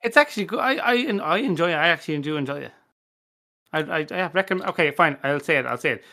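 Male podcast panelist, higher-set sharp voice, excitable banterer who doubles back on his phrases. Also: quick pace. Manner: excitable, repeats himself. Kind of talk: casual bantering group chat.

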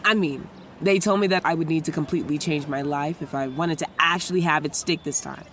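A woman's voice: deep voice, brisk, a bit bright, slight background noise. A woman in her twenties playing a cheerful, brash, newly sober young woman in an audio drama, profane and rambling. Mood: breezy, boastful. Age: 20s.

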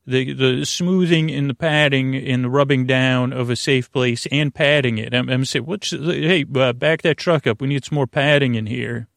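Creaky-voiced man, rambling and soothing. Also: medium pitch, fairly even intonation, fairly bright tone, no room sound, studio quality. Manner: meandering, halting, repeats himself, drifts into tangents; soothing, lulling. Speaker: podcast host. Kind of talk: rambling bedtime sleep podcast monologue.

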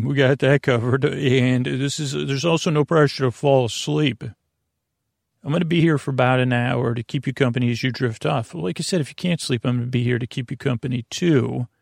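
A man hosting a bedtime podcast in a rambling, halting, gently silly monologue, trailing off mid-thought in a gravelly voice. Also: medium pitch, steady tone, no room sound, studio quality. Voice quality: creaky, gravelly. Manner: deliberately dull, gently silly. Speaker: podcast host.

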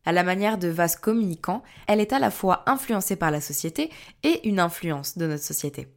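A woman with a fairly low voice reads a scripted narration. Her delivery is measured and even, like reading an essay aloud. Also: vocal fry.

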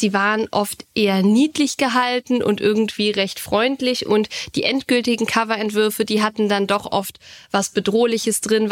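Woman co-hosting with a medium-pitched voice. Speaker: podcast co-host. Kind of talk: casual fan podcast chat.

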